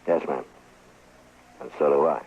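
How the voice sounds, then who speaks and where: An actor playing a stoic, weary frontier marshal with an American accent, grave and terse in an old radio western. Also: male voice, extremely low pitch, fairly even intonation, measured pace, faint music behind. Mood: firm. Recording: muffled, faintly noisy old radio recording.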